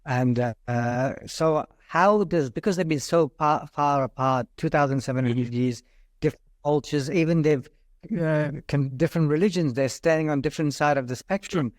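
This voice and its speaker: A man's voice: fairly high pitch, moderate pace, no room sound, studio quality. A man interviewing a rabbi, asking long, hesitant questions. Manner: hesitant, many fillers and restarts.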